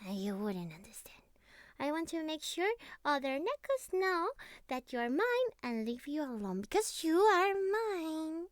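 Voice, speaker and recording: A woman playing a clingy, teasing young catgirl best friend in an intimate roleplay ASMR, speaking softly and cutely with breathy murmurs. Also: high-pitched, big pitch swings, no room sound, clean studio sound. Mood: cozy, possessive. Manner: soft, cutesy, breathy sighs and murmurs, slow. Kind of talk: intimate roleplay ASMR, scripted monologue to the listener.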